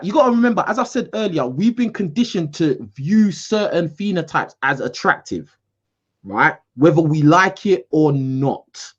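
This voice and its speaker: A man from the UK, hosting a call-in show in a casual, animated debate, repeating himself for emphasis. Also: a little high, moderate pace, husky, highs cut off. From United Kingdom. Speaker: host.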